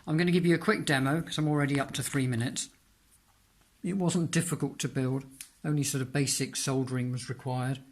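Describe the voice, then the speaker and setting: A man presenting his homemade radio gear in an informal demonstration, speaking in hesitant, piecemeal phrases with frequent pauses, indoors in a flat. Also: mid-range voice. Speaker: amateur radio hobbyist presenting own homemade antenna. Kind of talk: informal product demonstration vlog.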